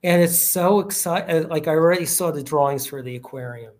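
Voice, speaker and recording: A man's voice: a little high, fairly quick, a little mellow. A man from the United States, an author guest, talking casually with plenty of restarts. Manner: rambling, many fillers and restarts. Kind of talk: casual interview chat.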